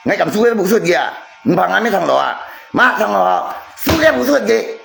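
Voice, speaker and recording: A man's voice: high-pitched, gravelly. A man chatting casually on a livestream, repeating himself and arguing his point to viewers. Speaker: live streamer.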